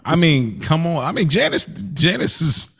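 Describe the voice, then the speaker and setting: Animated, slangy male podcast co-host. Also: fairly high pitch, normal speed, creaky, husky. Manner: slangy, laid-back, interjecting. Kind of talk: casual podcast chat.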